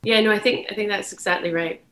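A woman's voice: mid-range voice, steady tone, fast, fairly bright tone. A young woman from Glasgow with a Scottish accent, giving candid, thoughtful interview answers and often restarting her phrases. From Glasgow, Scotland. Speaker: journalist guest. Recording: echoey room.

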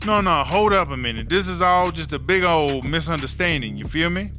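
A brash female inmate in a comedy audio drama, acted out by a man. The voice sits high and drawls through slangy, melodramatic grumbles and exclamations. Music plays clearly underneath. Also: animated, brisk, lightly acted. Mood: pleading, indignant. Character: Chartreuse, brash streetwise female prison inmate, foul-mouthed, dramatic.

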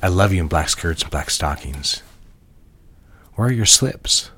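An actor playing a possessive, jealous lover in an audio drama, measured and commanding, speaking in an intimate, controlling way. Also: male voice, sits low, animated, a bit bright, breathy edge, heavily acted. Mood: controlling, tender. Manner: measured, directive, intimate murmuring.

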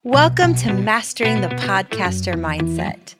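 A woman's voice: mid-range voice, very animated, unhurried, loud music behind the voice. A woman co-hosting a podcast as a coach, enthusiastic and effusive as she introduces and praises her guest. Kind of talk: upbeat podcast interview.

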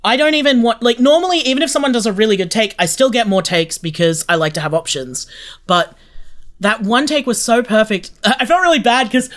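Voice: medium pitch, brisk, fairly bright tone. A podcast producer talking casually and effusively as an interview guest. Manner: effusive, gushing.

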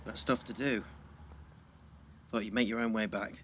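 A man's voice: fairly low pitch, steady tone, moderate pace, a little hiss or hum, lightly acted. A young man playing a prickly, guarded teenage schoolboy in an audio drama, with a terse, defensive way of talking. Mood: sulky. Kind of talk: naturalistic audio drama acting.

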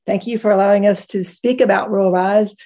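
A woman's voice: mid-range voice, fairly even intonation, a little fast, dark tone. Enthusiastic woman with an Appalachian accent. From rural Appalachia, West Virginia. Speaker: guest, co-founder. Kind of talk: conversational interview answer.

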